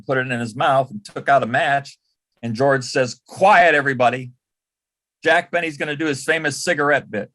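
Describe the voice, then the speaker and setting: Older man as a panel guest, mid-pitched, swapping fond old anecdotes in a conversational, halting way. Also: fairly bright tone. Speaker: panel guest, former celebrity assistant. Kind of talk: casual anecdotal storytelling on a panel.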